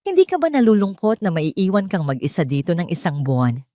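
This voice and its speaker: A woman's voice: lowish voice, wildly swooping pitch, a little fast, warm tone, dry recording, studio quality. A woman voicing a haughty, disapproving older mother-in-law of a wealthy family, imperious and probing in an audio drama.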